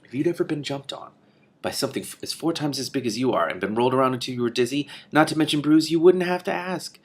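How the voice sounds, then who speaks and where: A man voicing a small, nervous, excitable talking lizard with a squeaky, fussy, dramatic way of speaking. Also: fast, lightly acted. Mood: indignant. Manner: fussy, dramatic, prim exclamations.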